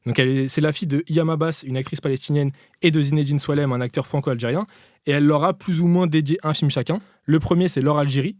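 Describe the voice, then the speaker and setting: Fast-talking man, informal and close-miked. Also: fairly high pitch, darkish tone, no room sound. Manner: informal, slangy. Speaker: podcast host presenting a film column. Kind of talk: casual panel chat.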